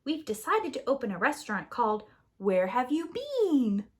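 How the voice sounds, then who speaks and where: A woman voicing a cheeky, chatty young boy in a storybook, quick to argue back. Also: high voice, big pitch swings. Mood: cheerful. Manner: cheeky, argumentative.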